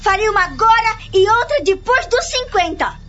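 An actress playing a vain, boastful, insistent wife with an affected manner in a radio soap opera. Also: female voice, very high-pitched, lively intonation, normal speed, fairly bright tone. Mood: indignant, insistent. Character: vain, boastful middle-class wife, insistent and status-conscious. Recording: dull lo-fi audio, music underneath.

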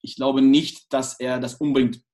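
A man's voice: mid-range voice, little pitch movement, moderate pace, darkish tone. A young man from England, the guest in a casual interview, talking hesitantly with many restarts and fillers.

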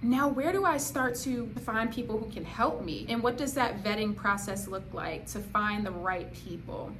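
A woman's voice: on the high side, expressive, normal speed, a bit bright, a little hiss or hum. A woman hosting an interview, who affirms her guest's points and asks guided questions. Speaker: podcast host.

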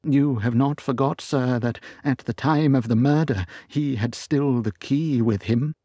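Old male butler, loyal and frightened, pleading tone, husky, voiced by a man reading. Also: medium pitch, unhurried, mellow, dry recording, pitched above the performer's own voice, close to an everyday voice. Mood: earnest. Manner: anxious, pleading, earnest. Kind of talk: storybook reading.

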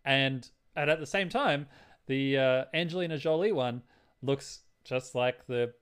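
A man's voice: medium pitch, measured pace, a bit bright, clear, resonant tone. Man rambling casually about films. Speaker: podcast co-host. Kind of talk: casual film discussion.

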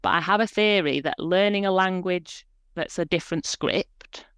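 A woman in her forties speaks as a podcast guest, chatty and reflective, often pausing on small fillers. Her voice is smooth and clean, and the recording is close and dry with no room sound. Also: fairly low pitch, a bit bright, no echo. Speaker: guest, proofreader and editor. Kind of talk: conversational podcast interview.

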